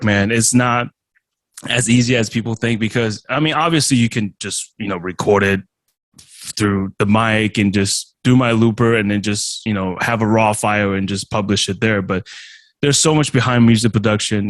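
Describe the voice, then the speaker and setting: A man from Taiwan whose first language is Chinese, a musician and streamer answering interview questions in a halting way, with many restarts and fillers. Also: fairly low pitch, dry recording. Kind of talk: casual interview.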